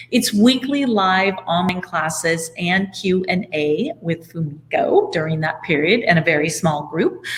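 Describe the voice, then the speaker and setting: Low-pitched woman presenting a webinar. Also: low-quality recording. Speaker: co-host, business partner presenting a course. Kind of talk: webinar sales presentation, conversational. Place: indoor room at home, video call.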